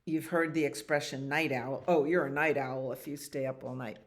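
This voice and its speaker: A woman reading picture books aloud as a children's library storyteller, expressively, with casual asides about the pictures. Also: very deep voice. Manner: expressive reading with acted animal sounds and pauses to comment on pictures. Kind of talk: read-aloud bedtime stories with casual asides.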